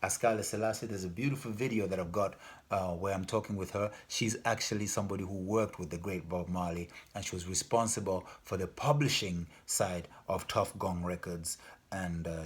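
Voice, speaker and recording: A man who grew up in Denmark, speaking earnestly as a festival organizer in an informal appeal, repeating himself and pausing with fillers. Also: low-pitched. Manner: earnest, repetitive, loses his thread with fillers.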